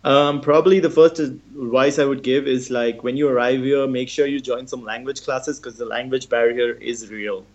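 A young man from India talks easily as an interview guest, with plenty of "um" and "like" and frequent laughs. His middle-pitched voice comes through a dull, lo-fi recording. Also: normal speed, hardly any pauses. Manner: relaxed, chatty, laughs often, fillers like "um" and "like". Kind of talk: casual interview.

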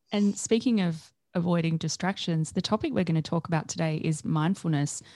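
Low-voiced woman hosting, dry close sound. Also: normal speed, darkish tone, no room sound. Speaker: podcast host. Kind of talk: podcast interview.

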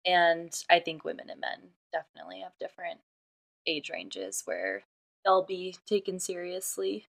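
A woman's voice: lowish voice, normal speed, vocal fry. A woman co-hosting a podcast in casual chat, often chiming in with short agreements. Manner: steady, frequent listener affirmations. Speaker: podcast co-host.

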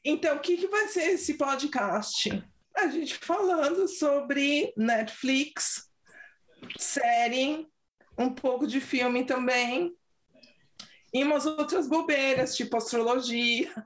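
A woman's voice: sits high, slow. Chatty São Paulo-accented woman, podcast host. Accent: Brazilian Portuguese, São Paulo. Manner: chatty, giggly, informal. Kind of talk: casual podcast chat.

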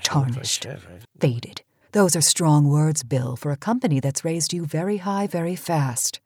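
Imperious middle-aged female tycoon: low, husky, cutting, sardonic. Campy radio-play style. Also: a little slow, a little mellow, dry recording, clean studio sound. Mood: icy, offended. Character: imperious aging cosmetics queen, sharp-tongued and vain. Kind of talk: campy audio drama acting.

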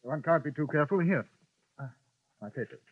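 An actor playing an urbane, dryly polite English newspaper correspondent in a 1950s radio western, with an English accent. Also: male voice, on the high side, fairly quick, no room sound. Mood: courteous, measured. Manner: courteous, wry, understated. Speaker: lead actor and narrator. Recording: muffled vintage radio broadcast, music behind.

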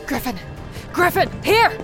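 An actress playing a fierce, impatient shapeshifting monster in a radio drama, blunt and hot-headed. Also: female voice, extremely high pitch, very animated, measured pace, faint music behind, exaggerated voice. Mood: alert, urgent.

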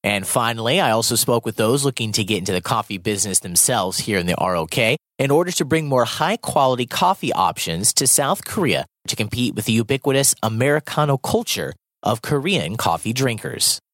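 A man narrating a scripted news report as the reporter, in a polished, lively delivery. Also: mid-range voice, brisk, dry recording, clean studio sound.

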